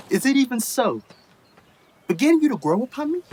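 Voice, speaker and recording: A young man playing a bitter, scheming elder brother, a haughty nobleman, with venomous, deliberate Shakespearean delivery in a radio play. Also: extremely high pitch, sing-song, darkish tone, slight background noise, full character voice. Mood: indignant, mocking. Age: young adult.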